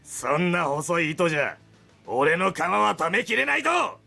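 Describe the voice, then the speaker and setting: Nasty anime game bad guy, taunting and contemptuous, gravelly, swoops up and down. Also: high-pitched, sing-song, fairly quick, bright, forceful tone, soft background music, exaggerated voice. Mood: scornful. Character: menacing, mocking male scythe-wielding enemy fighter. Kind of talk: Japanese visual novel voice acting.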